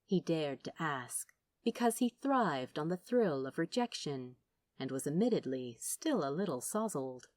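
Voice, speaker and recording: Expressive, lowish-voiced woman narrating fiction. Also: a little slow, no echo, studio quality. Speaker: audiobook-style narrator.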